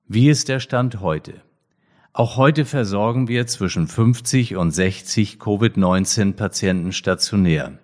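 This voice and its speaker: A middle-aged man hosts a podcast, his voice sitting very low. He reads his intro in a measured, formal way before turning to his guest. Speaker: podcast host, hospital director. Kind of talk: scripted podcast intro, then interview.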